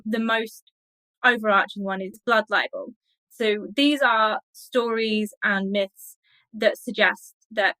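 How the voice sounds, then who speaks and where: A woman speaking as an expert interview guest, explaining things in a measured, scholarly way. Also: on the high side, pushed and bright, no room sound, poor audio.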